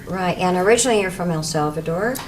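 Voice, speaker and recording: A woman with a low-pitched voice hosts a local TV arts interview, chatty and encouraging with her guests. Faint noise hangs under the recording. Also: quick pace. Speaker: host.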